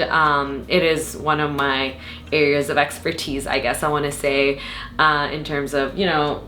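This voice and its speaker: A young woman from Dubai joins as a guest, a neuroscience student in casual conversation. Her voice sits low but sounds bright and sharp, and she speaks hesitantly with frequent ums. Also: a little hiss or hum. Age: young adult. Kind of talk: casual chat.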